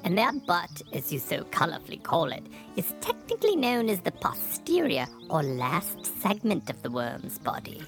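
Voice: mid-range voice, huge pitch swings, normal speed, hardly any pauses, fairly bright tone, soft background music, voice pushed up. A performer voicing a pompous, greedy storybook robin in a lecturing manner. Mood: smug lecturing. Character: pompous, greedy robin eyeing bugs to eat.

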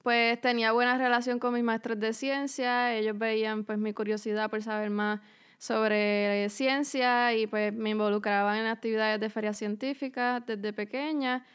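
A young woman from Puerto Rico with a Puerto Rican accent, a university student answering questions in a podcast interview, measured and pausing on many fillers. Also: on the high side, flat delivery, smooth. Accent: Puerto Rican Spanish. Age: young adult.

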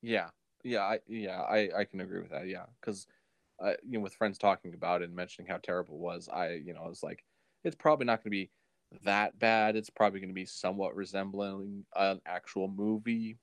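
A man's voice: low voice, steady tone, a little fast, dry recording. A man chatting casually as a podcast co-host, explaining things in a hesitant, self-correcting way. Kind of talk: casual chat.

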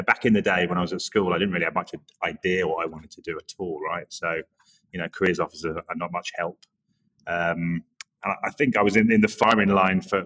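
Deep-voiced English man, bright tone. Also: rough recording. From England, Norwich area. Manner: relaxed, rambling, many restarts and self-corrections. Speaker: guest, creative director. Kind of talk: casual interview chat.

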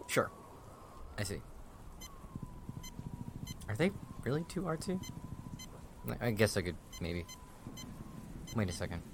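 A man's voice: on the low side, sing-song, slow pace, pauses often. A man playing an earnest, unsure young army photographer in an audio drama, with a hesitant, questioning manner. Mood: eager, unsure. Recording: clear background ambience and noise.

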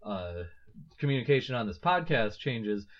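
A man's voice: mid-range voice, big pitch swings, normal speed. A man in his thirties hosting a casual book-discussion podcast, thinking aloud with many fillers and restarts. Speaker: podcast host. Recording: slightly muffled lo-fi audio.

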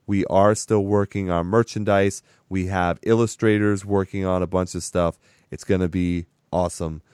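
A man hosting a casual podcast chat with a hoarse, raspy voice that is strained from sickness; he talks in a chatty, rambling way and laughs often. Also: sits low, steady tone, normal speed, darkish tone, dry recording, studio quality. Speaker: podcast host.

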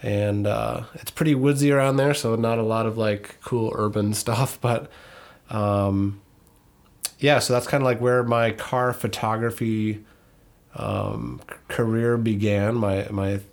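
A man's voice: lowish voice, steady tone, unhurried, creaky. A thirty-year-old man from northern Minnesota co-hosting a casual podcast, rambling a bit and correcting himself with frequent ums. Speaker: podcast co-host.

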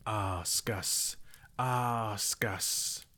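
A man's voice: on the low side, pushed and bright, full character voice. A man doing Gollum, a hissing slimy creature. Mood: whining. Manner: hissing, whispery, drawn-out words. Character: slimy hissing cave creature, sly and sulky.

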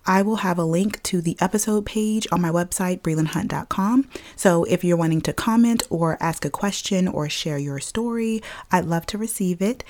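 A young woman with a low, gravelly voice chats through her podcast, raspy and a little scratchy today. She talks casually and loosely, laughing often and filling pauses with "like" and "um.". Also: darkish tone. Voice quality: raspy, slightly deeper than usual. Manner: chatty, laughs often, uses fillers like "like" and "um". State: scratchy throat. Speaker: podcast host. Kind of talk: casual solo podcast chat. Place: at home, filming herself.

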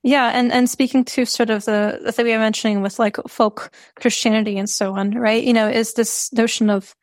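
A woman's voice: a little high, fairly even intonation, a little fast, no room sound, studio quality. A woman from Serbia talking through ideas in a casual discussion, thoughtful, with many fillers and restarts.

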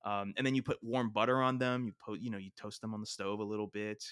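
Chatty podcast guy, bright voice, lowish pitch, animated. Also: fast talker, clean tone, no echo, studio quality. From South Florida. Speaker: podcast co-host. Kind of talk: casual chat.

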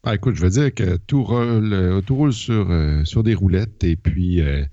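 A man's voice: low voice, normal speed, dark tone, dry recording, lo-fi sound. A man with a Quebec French accent co-hosting a podcast, wry and hesitant with fillers, sick with the flu. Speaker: podcast co-host. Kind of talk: casual podcast chat.

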